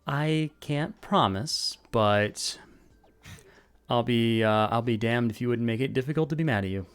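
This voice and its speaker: Man doing a guarded woman ally, blunt and businesslike, mid-pitched. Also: a little slow, clean tone, lightly acted. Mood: wary. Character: guarded, sharp-minded woman companion.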